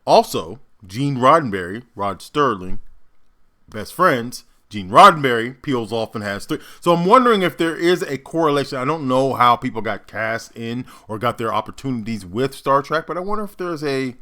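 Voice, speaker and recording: Bright-voiced man musing over a video. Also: mid-range voice, lively intonation. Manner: musing, halting with fillers. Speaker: reaction video host. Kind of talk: casual reaction commentary.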